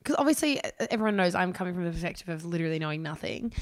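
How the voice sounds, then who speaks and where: A woman's voice: fairly low pitch, expressive, very fast, no room sound. Puzzled woman co-host, dry sound. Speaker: podcast co-host. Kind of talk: casual podcast chat.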